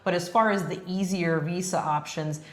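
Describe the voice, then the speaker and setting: Low-voiced woman, Spanish native, echoey room. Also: fairly even intonation, normal speed. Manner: friendly, laughs often, many fillers. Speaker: co-host. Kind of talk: casual travel chat.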